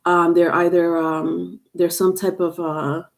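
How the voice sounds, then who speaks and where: A woman's voice: fairly low pitch, little pitch movement, takes it slowly, vocal fry. A woman with a stuffed-up nose giving a live tarot reading on camera, rambling with many fillers and restarts. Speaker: tarot reader. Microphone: webcam or phone on camera.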